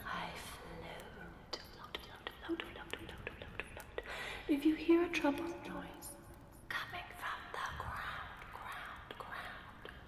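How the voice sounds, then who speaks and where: A woman performs a mysterious enchanted portrait who toys with visitors in riddles. She speaks in a slow, high-pitched whisper, teasing and repeating words, with echo around her in an ASMR fantasy roleplay. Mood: eerie, mysterious. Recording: echoey room sound.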